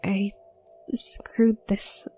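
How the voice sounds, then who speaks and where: A woman playing a shy, awkward young witch student in an audio roleplay, speaking softly and breathily with a nervous, halting stammer. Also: dry recording, whispered, faint music behind. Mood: dawning realisation, embarrassed. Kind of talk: roleplay audio drama addressed to the listener.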